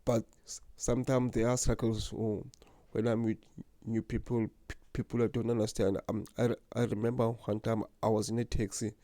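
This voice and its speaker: Young guy with a stutter, low mellow voice, dry close sound, talking earnest and slow to camera. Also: little pitch movement, no echo. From South Africa. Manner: stutters, with repeated words and blocks. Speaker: channel host. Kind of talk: personal vlog talk to camera.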